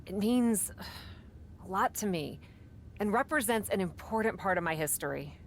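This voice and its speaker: A medium-pitched female voice acted by a woman plays a loyal, determined wrestler. She talks in an earnest, everyday way, as game cutscene dialogue. Faint noise sits behind the voice. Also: animated, moderate pace, natural voice. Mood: determined, sincere. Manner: earnest, conversational.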